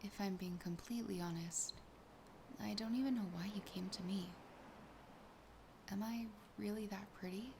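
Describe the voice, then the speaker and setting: A woman voicing a sassy, possessive, lonely siren in a soft, breathy, often whispered ASMR roleplay, slow, teasing and intimate. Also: mid-range voice, steady tone, background noise, natural voice. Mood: wistful, self-deprecating. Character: possessive, sassy, lonely female siren toying with her catch.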